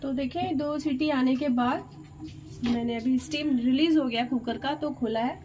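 High-voiced woman hosting a home cooking video. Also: normal speed, music in the background. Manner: chatty, explains each step to viewers. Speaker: cooking channel host. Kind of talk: step-by-step cooking demonstration. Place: home kitchen. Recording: noisy home recording.

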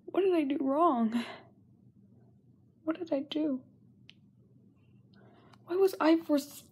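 A young woman playing a frightened, self-doubting young singer in a halting diary monologue, often dropping to a whisper. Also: high-pitched, darkish tone. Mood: anguished. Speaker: amateur voice actor. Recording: noisy makeshift amateur microphone recording.

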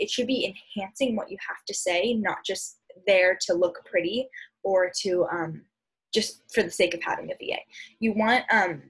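A young American woman with a husky, slightly breathy voice teaches informally. She explains in a hesitant way with trailing asides, at a middle pitch. It is recorded on a computer mic in a small room at home. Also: fairly bright tone, low-quality recording. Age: young adult. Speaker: speech and debate instructor. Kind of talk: informal slide presentation. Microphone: computer mic on a video call.

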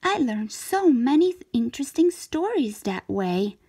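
A restless little cartoon shape from a picture book, as a woman reader puts it on, always wanting more. It speaks in slow, emphatic, drawn-out words with animated, swooping pitch and a breathy edge. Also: full character voice. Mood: eager, pleased.

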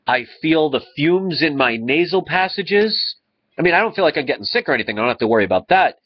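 A man's voice: fairly high pitch, sing-song, quick pace, husky. A man in his thirties with a stuffy, congested nose, talking earnestly to a webcam in his living room. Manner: earnest, rambling with restarts. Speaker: vlogger. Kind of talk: casual talk to camera. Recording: slightly dull lo-fi webcam audio.

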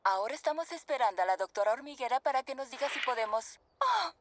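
A woman playing a kindly mother panda in a children's cartoon, gentle and reassuring. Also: sits high, animated, fast talker, background noise. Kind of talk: animated children's cartoon acting.

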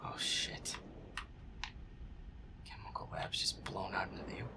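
A man's voice: deep voice, fairly even intonation, unhurried. Irritable man muttering in a half-whisper. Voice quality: breathy, often half-whispered. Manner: irritable, heavily swearing, muttering. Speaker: gamer playing in character. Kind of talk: horror game commentary, muttering to himself.

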